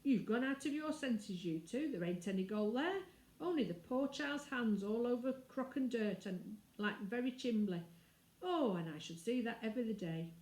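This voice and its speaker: A woman's voice: fairly high pitch, animated, normal speed, a little mellow, pitched above the performer's own voice, lightly acted. A loyal servant woman from a storybook, put on by a woman reader. She scolds in a flustered, homely, rustic way, full of exclamation. The sound has a roomy quality. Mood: scolding.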